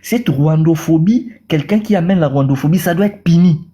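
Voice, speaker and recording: A man's voice: on the high side, moderate pace, mellow. A man from Congo with a Congolese French accent, speaking passionately in a political debate and repeating words as he goes. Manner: repeats words, mixes in Lingala. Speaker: caller in a debate. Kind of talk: impassioned political talk.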